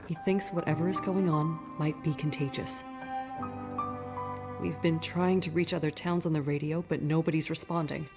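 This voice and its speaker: A woman's voice: low-pitched, fairly even intonation, normal speed, music in the background. Game drama casting: anxious townswoman keeping vigil, earnest and grave, natural delivery, prominent score behind. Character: worried townswoman keeping vigil over a sick loved one. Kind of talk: cinematic video game drama acting.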